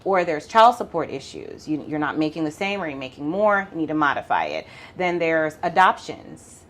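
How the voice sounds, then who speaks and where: A woman with a Jamaican accent, an attorney explaining law in a casual interview, talking quickly and laughing often. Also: deep voice, big pitch swings, fairly bright tone. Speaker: family law attorney, podcast guest.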